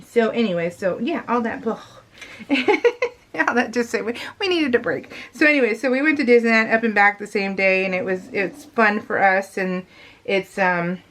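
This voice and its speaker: A woman's voice: medium pitch, normal speed, fairly bright tone, a little hiss or hum. A middle-aged woman vlogger chatting casually in a rambling, emphatic, confiding way. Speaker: craft vlogger. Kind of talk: casual chat.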